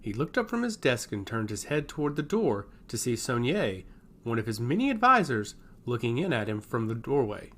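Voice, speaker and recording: A man narrating a scripted fantasy story in a measured, dramatic storytelling manner. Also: medium pitch, very animated, a bit bright.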